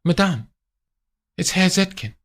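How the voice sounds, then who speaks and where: Guy doing a polite chauffeur, kinda high, says sir and madame, slow. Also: animated, exaggerated voice. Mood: deferential. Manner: formal, respectful, addresses others as sir and madame. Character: deferential male chauffeur, polite and quiet.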